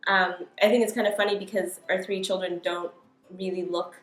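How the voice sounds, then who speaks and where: A woman's voice: fairly low pitch, steady tone, a little fast. A woman from the United States giving an informal, conversational personal account as an interviewee. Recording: roomy echo, guitar music underneath. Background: soft guitar music.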